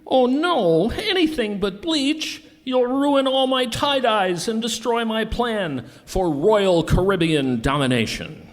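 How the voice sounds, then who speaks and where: A man playing a gloating supervillain in an amateur comic radio skit, with drawn-out melodramatic delivery. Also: mid-range voice, very animated. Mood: panicked. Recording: reverberant hall, live recording.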